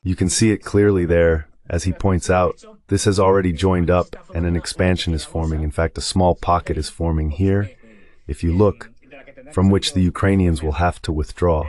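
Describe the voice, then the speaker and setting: A man's voice: low voice, steady tone, moderate pace, a little mellow. A man giving explanatory, conversational commentary on a war as a guest analyst in a dubbed live talk.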